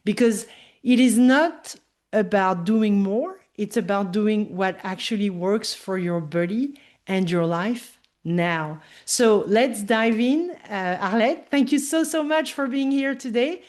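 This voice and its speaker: A woman hosting a podcast, reading a scripted introduction with a measured delivery before interviewing her guest. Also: medium pitch, a little mellow. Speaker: podcast host.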